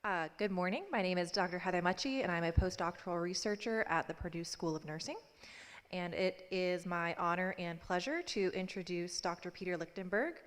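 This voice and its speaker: A woman's voice: fairly low pitch, brisk. Woman reading a speaker introduction in a hall. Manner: reads a prepared bio steadily. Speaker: postdoctoral researcher introducing a speaker. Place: conference hall. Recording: long hall reverb.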